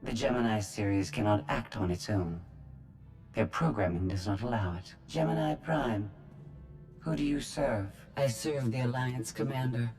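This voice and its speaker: A woman's voice: a bass voice, moderate pace, over music. A woman voicing a cold, superior robot intelligence in a game cutscene, formal and condescending, with synthetic processing. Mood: cool, matter-of-fact. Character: cold, superior female droid intelligence, haughty and precise. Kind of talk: video game cutscene acting.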